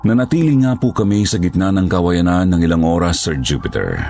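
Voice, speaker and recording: A man narrates a horror story in the first person. His voice is extremely deep and heavily creaky, with dramatic scripted delivery over soft background music. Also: lively intonation, brisk.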